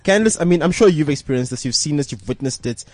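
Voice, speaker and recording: A South African man hosts a casual studio podcast panel. His pitch swings freely and sits a touch high. He talks in an animated, emphatic way, full of quick asides, into a dry, close mic. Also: no room sound. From Johannesburg, South Africa. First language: Tswana. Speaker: podcast host.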